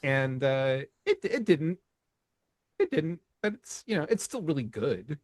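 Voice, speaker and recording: Lively, laughing male podcast co-host. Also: fairly high pitch, unhurried, no echo, studio quality. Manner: animated, laughs often, restarts sentences. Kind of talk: casual chat.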